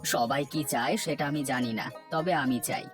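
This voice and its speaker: A man plays a hardworking village father in an animated story. His medium-pitched voice is gentle and reassuring, that of a dutiful son and caring dad. Music plays clearly behind him. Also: moderate pace, natural voice. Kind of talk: Bangla cartoon voice acting.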